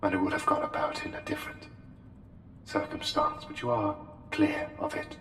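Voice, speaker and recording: A man voicing an otherworldly, courtly plague doctor in an intimate ASMR roleplay, speaking formally and deliberately with hesitant pauses. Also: medium pitch, wildly swooping pitch, a bit bright, voice pushed up. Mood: intent, reassuring. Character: courtly, intense male plague doctor, an otherworldly anomalous being. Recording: echoey hall reverb, music behind. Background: music.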